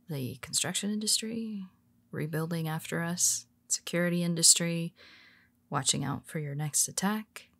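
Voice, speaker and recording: A woman playing a low, gravelly scheming superhero. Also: exaggerated voice. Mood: wry. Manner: calm, wry and confiding. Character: cool, scheming female superhero confessing to her nemesis. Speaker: voice actor. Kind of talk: audio roleplay monologue.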